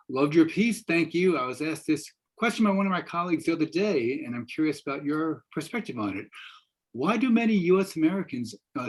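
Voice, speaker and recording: A man's voice: on the high side, husky. A middle-aged man hosting a discussion, encouraging and often stumbling over his words. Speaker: host, theater professor. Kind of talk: moderating a discussion. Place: wood-panelled room at home, indoors. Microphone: video call.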